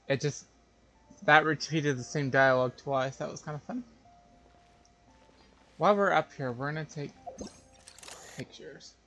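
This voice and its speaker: A man's voice: a little high, measured pace, pauses often, pushed and bright, faint music behind. A man doing casual live game commentary, thinking aloud in a hesitant, wry way. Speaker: game streamer.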